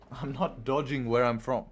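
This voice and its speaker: A man's voice: mid-range voice, steady tone, a little slow. A man from England hosting a live trading stream, chatting casually, repeating phrases and answering questions from chat. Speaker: livestream trading host.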